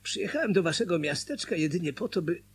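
An actor playing an embittered, vengeful foreign traveller with sly politeness in a radio drama. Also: male voice, high voice, big pitch swings, quick pace, breathy edge, heavily acted. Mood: fussily polite.

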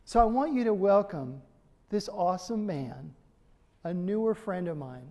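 Slow-talking man introducing a speaker. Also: high voice, animated. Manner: warm, enthusiastic, emphatic repetition. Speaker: event host introducing the speaker. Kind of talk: stage introduction. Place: large hall with audience. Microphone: podium mic. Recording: reverberant hall with audience murmur.

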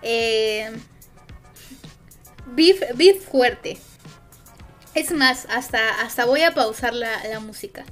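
A woman's voice: on the high side, very slow, long pauses, fairly bright tone, soft background music. A woman with a Mexican accent chatting casually on a stream, rambling and hesitant with lots of fillers.

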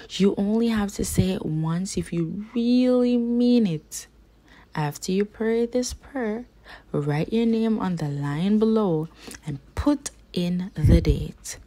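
Husky woman reading slowly to children. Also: mid-range voice, dark tone. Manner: slow, careful, simple phrasing for young listeners. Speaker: read-aloud storyteller for children. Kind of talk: children's religious picture book read-aloud.

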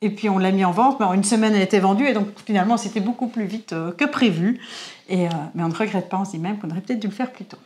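Belgian woman, 40s, co-host, lively casual chat, midrange voice. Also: mid-range voice, very fast. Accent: Belgian French. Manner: lively, laughs easily, restarts words. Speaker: vlog co-host. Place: covered porch beside a house.

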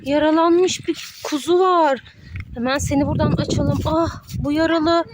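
A woman's voice: high-pitched, steady tone, normal speed, background noise. A woman, a mother playing toys with her child, narrating the pretend play in a singsong way with sound effects and pet names.

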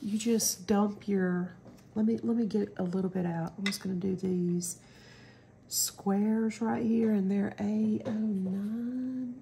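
A woman's voice: mid-range voice, fairly even intonation, slow, gravelly, warm tone, slight background noise. A middle-aged woman with a stuffy cold, chatting casually through a craft demo at home and rambling with lots of ums. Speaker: crafting channel host.

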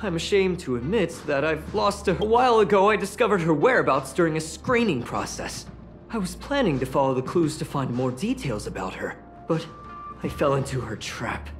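A woman's voice: sits low, animated, normal speed, faint music behind. A woman voicing an earnest, self-conscious young engineer in video game story dialogue, talkative and dramatic. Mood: embarrassed.

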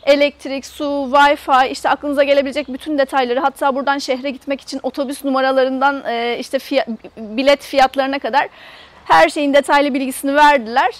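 Female travel vlogger, high voice, chatty and explanatory, casual walk-around tour delivered outdoors. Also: fairly even intonation, brisk, no room sound. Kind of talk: casual guided tour to camera. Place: outdoors in an open camper park lot.

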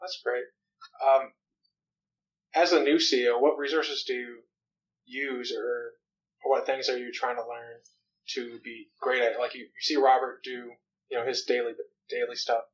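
A man's voice: medium pitch, flat delivery, a little slow. A podcast host asking rambling questions, rephrasing as he goes, in an in-person interview. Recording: slightly dull in-person recording.

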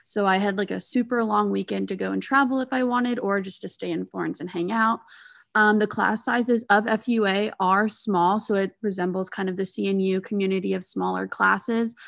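A young woman in her twenties speaks in a relaxed, chatty way, dropping ums and likes as she goes. Her voice carries a gravelly fry, and the call audio sounds dry and close. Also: mid-range voice, normal speed, no echo. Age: 20s. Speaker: student panelist. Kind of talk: casual panel talk. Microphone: video call.

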